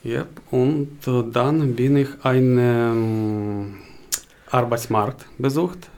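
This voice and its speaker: Slow, halting man with a Ukrainian accent. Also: mid-range voice, steady tone, a little mellow. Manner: hesitant, searching for words. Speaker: interview guest, job seeker. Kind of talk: interview answers in halting second-language German.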